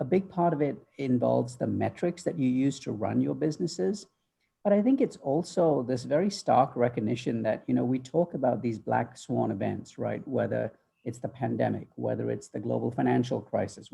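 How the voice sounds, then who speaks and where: A middle-aged man speaks as a guest on a video-call interview, his voice deeply gravelly and a bit husky. His tone is dark and mellow, and he answers in a thoughtful, fluent way, dotted with ums. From Malaysia, raised in the UK. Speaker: guest, future-of-work author. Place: home office.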